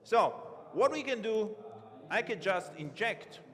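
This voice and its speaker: A man giving a live-coding conference talk from a large stage, halting and correcting himself as he types. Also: high voice, lively intonation, fairly bright tone. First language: not Romanian. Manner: halting, self-correcting, thinks aloud while typing. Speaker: conference speaker. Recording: long reverb of a big hall.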